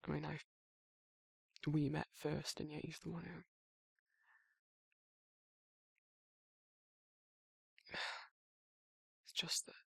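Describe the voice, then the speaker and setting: A man voicing a gentle, awkward werewolf in an intimate ASMR roleplay, with a British accent, a husky voice and a hesitant, rambling way of talking. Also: on the high side, no room sound, heavily acted. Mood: flustered, reassuring. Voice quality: husky, gravelly, breathy. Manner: hesitant, rambling, bashful, stumbling over words. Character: grumpy but awkward, shy, gentle male werewolf.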